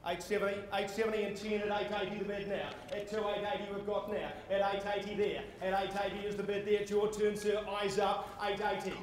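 An Australian man calls a property auction in a high, projecting voice. His delivery is theatrical yet formally courteous. The sound is heavily reverberant, with faint background noise in a conference hall. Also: little pitch movement, fairly quick, hardly any pauses. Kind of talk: live property auction calling. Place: on stage in a large indoor conference hall.

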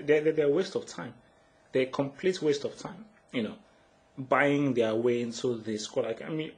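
Frustrated man ranting about football. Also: mid-range voice. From Nigeria. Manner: frustrated, repetitive, emphatic with stammered restarts. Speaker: football blogger. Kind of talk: solo match analysis rant.

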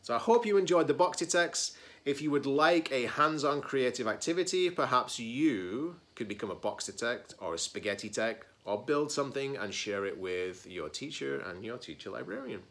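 A man's voice: mid-range voice, lively intonation, moderate pace, a bit bright. Male teacher-librarian, 40s, mid-range voice, talking to schoolchildren on a homemade read-aloud video. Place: small room at home, indoors.

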